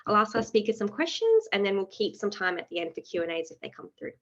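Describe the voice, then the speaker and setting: A woman's voice: mid-range voice, big pitch swings, quick pace. A woman hosting an investing webinar on a video call, reading a scripted company introduction with frequent um fillers. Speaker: webinar host. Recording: dull lo-fi online call audio.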